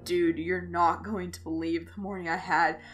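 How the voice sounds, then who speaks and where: A woman's voice: fairly low pitch, flat delivery, moderate pace, natural voice. Audio fiction role: defensive, flustered young dude, played by a female narrator, casual talk, music under. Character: surly, flustered young male college student.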